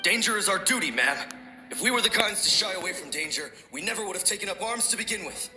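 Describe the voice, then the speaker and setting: Casting: reverent, brave game samurai, earnest and formal, echoing sound over music. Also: male voice, high voice, expressive, quick pace. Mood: resolute, proud. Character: loyal male samurai soldier, dutiful, brave, reverent. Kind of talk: video game dialogue acting. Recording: roomy echo, game music behind.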